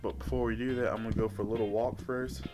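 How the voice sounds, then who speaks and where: A man's voice: on the low side, steady tone, quick pace, over music. A young man talking casually and informally to camera as a fitness vlogger, rambling as he addresses his viewers. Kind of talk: casual talk to camera, vlog. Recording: noisy amateur vlog audio.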